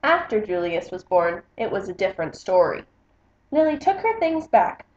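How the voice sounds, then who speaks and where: A woman's voice: medium pitch, sing-song, moderate pace, darkish tone, slight background noise. A woman book vlogger reading a picture book aloud in a casual, chatty style. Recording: slightly dull, roomy home recording.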